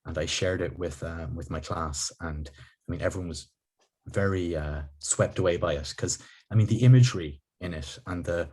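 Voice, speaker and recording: A man raised in Ireland, hosting a video-call interview from his living room, speaking thoughtfully with many pauses and restarts. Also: sits low, a little mellow, slightly rough. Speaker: podcast host. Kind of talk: reflective conversational interview.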